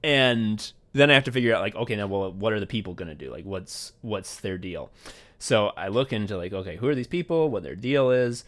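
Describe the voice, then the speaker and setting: A man with a fairly low voice hosts a casual podcast. He talks in a rambling, sweary way, like a writer going over his process. He slips into quoted asides as he tells it. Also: expressive, fairly quick. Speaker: podcast host and audio drama writer. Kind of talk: casual behind-the-scenes podcast chat.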